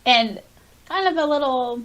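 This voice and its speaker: A woman's voice: high voice, expressive, a little slow, fairly bright tone, low-quality recording. A woman co-hosting a podcast, chatting casually and sometimes reading passages aloud. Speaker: podcast co-host and audiobook narrator.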